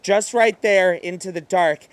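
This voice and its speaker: A man performing a dramatic horror story monologue as a terrified, exhausted madman, with breathy, halting, theatrical delivery. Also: high voice, no room sound. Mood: hushed dread. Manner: breathy, halting, theatrical, swinging from hush to outburst. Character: terrified, exhausted madman narrator hunted by demons.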